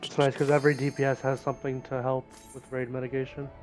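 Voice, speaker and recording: A man's voice: medium pitch, little pitch movement, fast. A man talking casually as a teammate in an online game raid. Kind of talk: casual gaming chat. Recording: game music behind the voice.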